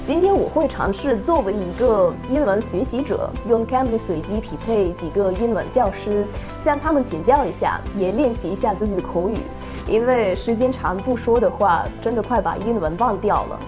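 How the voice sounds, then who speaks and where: A woman's voice: medium pitch, animated, moderate pace, music in the background. A woman from Ukraine with a Slavic first language, narrating a vlog in a friendly, chatty way. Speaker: vlogger.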